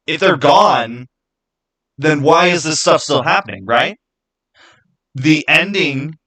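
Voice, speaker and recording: A man's voice: on the high side, fairly bright tone. Man chatting casually on a livestream. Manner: halting, rhetorical questions to viewers. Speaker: livestream host.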